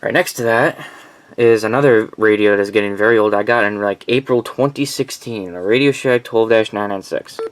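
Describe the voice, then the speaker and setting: A man's voice: fairly low pitch, a little fast, low-quality recording. A man casually and informally presenting his collection in a rambling, unscripted vlog.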